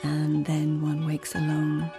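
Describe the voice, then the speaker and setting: Clever Japanese court lady, radio drama, lyrical and poised, with a creaky low edge. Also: monotone, slow pace, over music, exaggerated voice. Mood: lyrical, reflective. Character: witty, well-read lady of the Japanese imperial court. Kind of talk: radio drama acting and literary narration.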